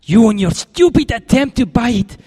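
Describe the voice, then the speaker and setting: An actor in a radio drama playing a fussy, sly, excitable criminal schemer with mannered politeness and a rough, creaky voice. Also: male voice, normal speed, in a large hall, heavily acted. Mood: shrill fury. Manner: mannered, over-polite, prone to shrill outbursts.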